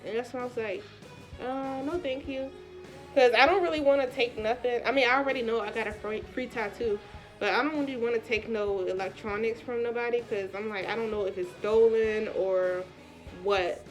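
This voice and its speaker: A woman's voice: a little high, normal speed, faint music behind. An American woman vlogger with a congested, stuffy voice, chatting casually through a personal story in colloquial speech and acting out the dialogue as she goes. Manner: colloquial, animated retelling with quoted dialogue.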